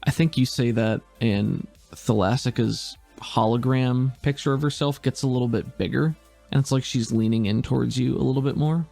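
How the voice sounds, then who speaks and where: A man's voice: medium pitch, normal speed, vocal fry, mellow, soft background music. A man narrating a tabletop role-play game as game master in a laid-back, descriptive way.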